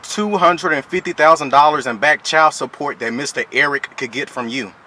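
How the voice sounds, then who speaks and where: Man posing as a pushy, bright-voiced child support official. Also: fairly high pitch, moderate pace. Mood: stern, pressing. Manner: pushy, mock-official, repeatedly polite "ma'am". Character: stern, threatening male child support official. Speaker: prank caller. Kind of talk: improvised prank phone call. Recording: phone call with faint noise.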